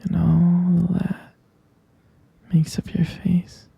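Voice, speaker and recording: A man voicing an adoring, tender romantic partner in an intimate ASMR roleplay, murmuring gently and haltingly in a breathy, husky whisper. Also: sits high, monotone, no room sound, dull audio, full character voice. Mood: adoring, dreamy. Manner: slow, halting, gentle murmuring with lingering pauses.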